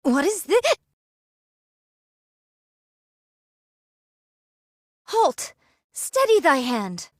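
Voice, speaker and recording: Female android warrior, high voice, proud and weary, archaic formal phrasing, dry close game recording. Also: wildly swooping pitch, slow pace, dry recording, full character voice. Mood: stern. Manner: formal, archaic, haughty diction. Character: proud archaic-spoken android swordswoman, drinker, weary and haughty. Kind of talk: dramatic game voice acting.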